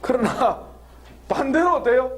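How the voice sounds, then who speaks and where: A man preaching a lively sermon, laughing often and drawing call-and-response answers from the congregation with frequent questions. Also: very high voice, a little slow. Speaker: pastor. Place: church hall with congregation.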